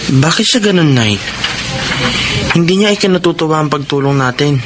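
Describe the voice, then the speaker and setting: Radio drama actor as troubled young son: earnest, respectful, slightly high voice, noisy ambience. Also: male voice, animated, moderate pace, natural voice. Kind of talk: Filipino radio drama acting. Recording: clearly noisy ambience behind voice.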